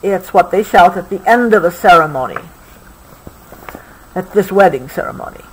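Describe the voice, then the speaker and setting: A woman reading a picture book aloud to a child, patiently pausing to explain things along the way. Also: on the low side, expressive, slight background noise, low-quality recording. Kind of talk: expressive storybook read-aloud with explanatory asides.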